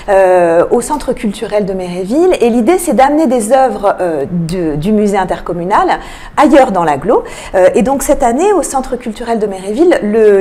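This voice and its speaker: A woman explaining a cultural programme as a radio interview guest, often pausing to find her words. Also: medium pitch, warm tone. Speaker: guest, arts programming manager.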